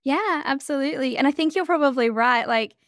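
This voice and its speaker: An energetic Australian woman chats as a podcast guest in a bubbly, quick-laughing way. Her voice sits a little high and has a gravelly rasp. The sound is dry, with no room echo. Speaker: guest, change leadership expert. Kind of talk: casual interview chat.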